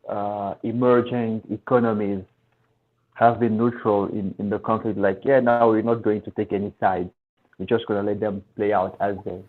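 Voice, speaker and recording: A man from Central Africa explaining his views in a casual live-stream discussion, thoughtful and restarting mid-phrase. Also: fairly low pitch. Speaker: discussion panelist. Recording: tinny phone-like line.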